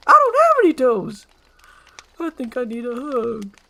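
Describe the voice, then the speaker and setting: High, excitable talking donkey as a reader plays him. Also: measured pace, creaky, no echo, heavily acted. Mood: panicked, whiny. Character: Donkey, excitable chatty male talking donkey, whiny.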